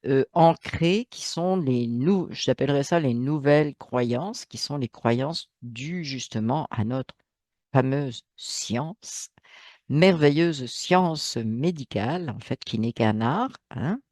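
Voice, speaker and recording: A man's voice: medium pitch, expressive, takes it slowly, darkish tone, no room sound, clean studio sound. A man hosting his own channel, giving a spoken monologue in a hesitant way with many fillers, restarts and emphatic asides. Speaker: channel host.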